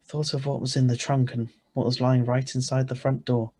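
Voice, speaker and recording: A man's voice: medium pitch, level tone, fairly quick, dark tone, close to an everyday voice. Man playing a tense, protective ordinary husband. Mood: grim narrating. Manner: urgent, plain-spoken. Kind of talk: 1940s-style radio drama acting and narration. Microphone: video call.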